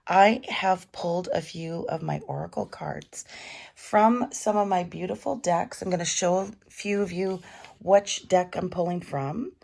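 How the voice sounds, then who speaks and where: A middle-aged woman with a cold and a raspy voice, chatting casually as she gives a tarot reading at home. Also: on the low side, measured pace. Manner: chatty, warm advice with fillers. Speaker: tarot reader.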